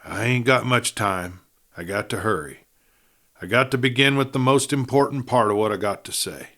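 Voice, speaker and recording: A man's voice: normal speed, a bit bright, close to an everyday voice. A man voicing a dying Western outlaw in an audiobook, desperate and sneering, speaking urgently in a rustic cowboy drawl as he gasps out a confession. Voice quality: low, breathy. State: gasping, near death. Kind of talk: audiobook character voice.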